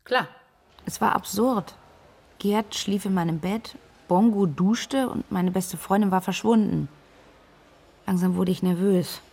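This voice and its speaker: Husky, creaky worried young woman. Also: mid-range voice, measured pace, long pauses, darkish tone. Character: worried, candid young woman, the missing woman's best friend. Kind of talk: interview-like radio drama narration.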